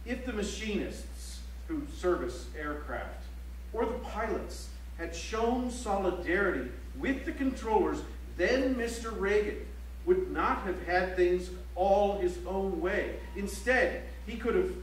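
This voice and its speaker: A man playing a grave, pointed political chronicler, reciting formally on stage in a measured, emphatic way. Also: sits high, expressive. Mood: critical, emphatic. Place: library auditorium stage. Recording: echoey auditorium, distant mic, faint hum.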